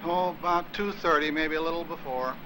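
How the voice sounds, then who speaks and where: Actor as a quick-talking man, noisy street. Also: on the high side, close to an everyday voice. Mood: matter-of-fact. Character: brisk, helpful working man giving directions. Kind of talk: 1950s Hollywood film acting. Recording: old film soundtrack, street noise.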